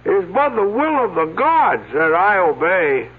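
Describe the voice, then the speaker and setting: An actor playing a solemn, mysterious man who claims to speak with ancient spirits, in slow, ceremonial phrasing. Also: high-pitched, big pitch swings, husky, heavily acted. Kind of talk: 1970s radio drama acting. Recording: tinny old radio broadcast.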